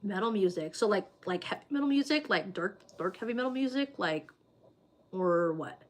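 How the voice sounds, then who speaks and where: A woman's voice: medium pitch, expressive, normal speed. A woman hosting a casual livestream, chatting loosely with viewers and reading their comments, with plenty of "like" and "um" fillers.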